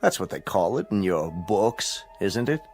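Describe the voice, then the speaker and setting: An actor playing a cruel totalitarian chancellor in a radio drama, formal, condescending and mockingly polite. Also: male voice, low voice, very animated, unhurried, darkish tone, faint music behind. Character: coldly cruel, smug totalitarian state chancellor, a man.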